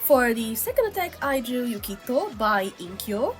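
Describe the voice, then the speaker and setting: A woman's voice: on the high side, sing-song, moderate pace. A woman with a slightly sick voice giving casual, informal co-host commentary on drawings, chatty and full of "like" asides. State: sick, still under the weather. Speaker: co-host, digital artist. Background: background music.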